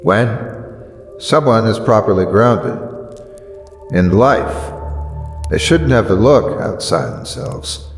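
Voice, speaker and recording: A man delivers dramatic motivational narration with an animated, swooping intonation. He speaks slowly and solemnly, pausing for weight and stressing key words. His voice is drenched in long reverb. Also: on the low side. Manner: deliberate, solemn, emphatic with weighty pauses. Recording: echoey long reverb over music. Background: background music.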